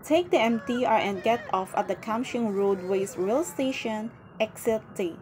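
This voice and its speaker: A woman's voice: fairly high pitch, a little slow, darkish tone, slight background noise. Woman vlogger reading a travel voice-over.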